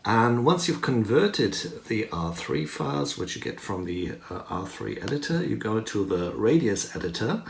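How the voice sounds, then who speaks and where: A man with a fairly low voice talks through a hands-on tutorial, thinking aloud as he operates the equipment. The recording is roomy and echoey, with faint noise behind him. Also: very animated, moderate pace, vocal fry, few pauses. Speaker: demonstrator of a synthesizer patch. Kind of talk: hands-on tutorial talk, unscripted. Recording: roomy echo, noticeable background noise, clicks.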